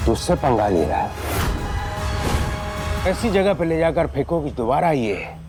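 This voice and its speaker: An actor playing a blustering boss in an audio drama, theatrical and emphatic, fawning upward and harsh downward. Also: male voice, fairly high pitch, very animated, measured pace, music in the background. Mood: outraged.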